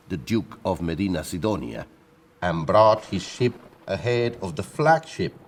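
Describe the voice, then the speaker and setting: Man acting a low-voiced, haughty Spanish admiral. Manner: pompous, formal, declaratory. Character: haughty, high-handed Spanish admiral, pompous turkey cock. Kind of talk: audio play acting.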